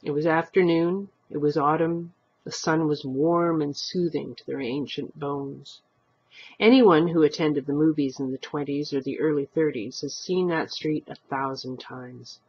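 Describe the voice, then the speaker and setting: A woman narrates a short story at an unhurried pace. Her voice is husky and moves expressively up and down. The recording is a little dull and lo-fi. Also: low voice, fairly even intonation. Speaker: amateur story reader.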